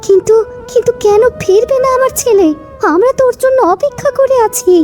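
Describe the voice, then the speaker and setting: A woman's voice: very high-pitched, brisk, a little mellow, soft background music. A woman voicing a distressed village woman in a cartoon story, anxious and questioning. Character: distressed village woman, worried about a lost loved one.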